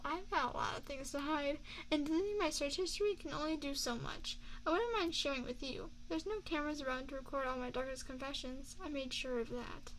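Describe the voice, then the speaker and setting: A woman playing an affectionate young mafia boss girlfriend in an ASMR roleplay, speaking in a soft, confiding, measured way close to a binaural microphone. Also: high-pitched, slight background noise, lightly acted, poor audio. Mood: teasing, confiding. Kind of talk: ASMR girlfriend roleplay, scripted intimate monologue.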